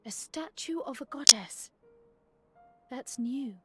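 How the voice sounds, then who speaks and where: High-voiced, composed young scholar. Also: female voice, expressive, loud music behind the voice. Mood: curious. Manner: measured, articulate, curious. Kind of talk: scripted fantasy game dialogue.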